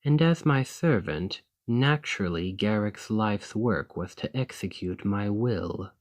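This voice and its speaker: A man's voice: fairly low pitch, animated. A man playing an ambitious foreign noble in a fantasy audio roleplay, speaking in a formal, composed, courteous and persuasive manner. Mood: formal, coolly persuasive. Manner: formal, measured, deliberate, condescendingly sympathetic. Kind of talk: scripted fantasy ASMR roleplay monologue.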